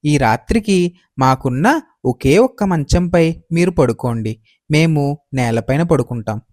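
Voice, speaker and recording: A man playing a humble, kindly poor farmer in a moral story, speaking deferentially and gently. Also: a little high, lively intonation, a little slow, dry recording, clean studio sound. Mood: welcoming. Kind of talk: acted moral story dialogue.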